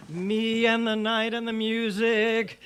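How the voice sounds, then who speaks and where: A woman playing a quick-witted schoolteacher with a dry, arch manner in an old-time radio comedy. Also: fairly high pitch, level tone, slight background noise. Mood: playful, arch. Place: live stage performance at a radio history event.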